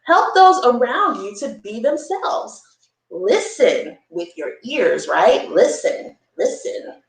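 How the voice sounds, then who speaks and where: A woman with a high, very lively voice that swings up and down leads a children's story time. She reads in an encouraging way, lingering on repeated phrases and chatting to young listeners between lines. The sound is roomy and echoey, picked up some way from the microphone. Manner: encouraging, repeats key phrases slowly, checks in with "right?". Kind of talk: read-aloud story time with conversational asides to children. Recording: roomy echo, distant microphone.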